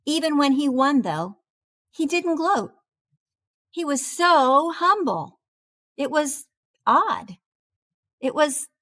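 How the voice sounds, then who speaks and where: Woman voicing a high, theatrical cheese storybook character. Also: heavily acted. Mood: baffled, dismayed. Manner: theatrical, expressive, deliberate delivery. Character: proud, dramatic cheddar wheel learning humility, storybook narrator. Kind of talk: animated children's storybook read-aloud.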